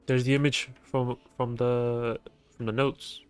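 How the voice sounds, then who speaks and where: A man's voice: mid-range voice, level tone, a little slow, faint music behind. A man streaming a game, giving slangy, laid-back reactions in casual commentary.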